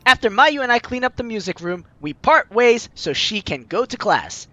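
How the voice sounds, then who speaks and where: A man hosts a let's play, reading narration and dialogue aloud with swooping, animated pitch. His voice is bright and forceful, his delivery playful and theatrical, with faint game music behind him. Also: moderate pace, no room sound, highs cut off. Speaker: host of a game playthrough. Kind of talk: let's play, reading visual novel lines aloud expressively.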